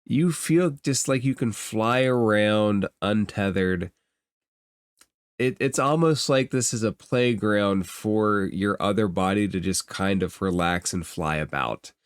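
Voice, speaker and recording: A man narrating a tabletop fantasy game as game master, describing scenes in improvised, halting phrases and thinking aloud. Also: on the low side, clear, resonant tone, no room sound, clean studio sound.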